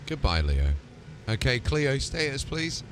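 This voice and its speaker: A man's voice: mid-range voice, very animated, measured pace, noisy background. A man in casual role-play chat as a game streamer playing a ship commander.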